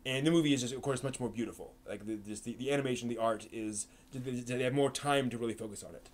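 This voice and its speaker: A man hosting a casual live stream who thinks aloud and rambles, with many pauses, ums and restarts. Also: mid-range voice. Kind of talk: casual live-stream chat answering chat questions.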